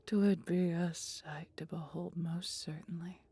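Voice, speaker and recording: A woman voicing a mighty female dragon, proud yet caring, with breathy, husky tones and archaic, theatrical phrasing in a fantasy audio roleplay. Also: fairly low pitch, steady tone, a little slow, mellow, dry recording, heavily acted. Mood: wistful, dramatic relish.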